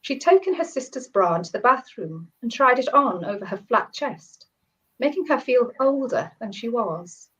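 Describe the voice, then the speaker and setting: A woman whose voice is husky and croaky from a cold. She talks in a chatty way, with small laughs and restarts, and reads her story aloud. The sound is dull and lo-fi, like a video call. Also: fairly high pitch, expressive, moderate pace, fairly bright tone. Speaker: short story author and guest. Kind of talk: casual chat, then reading her story aloud.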